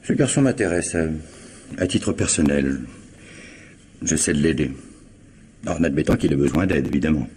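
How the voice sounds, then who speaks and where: An actor playing a dry, probing police commissioner in a French radio mystery, insistent and insinuating. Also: male voice, extremely low pitch, expressive, normal speed, long pauses, darkish tone, slight background noise. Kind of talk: 1950s-style French radio mystery acting.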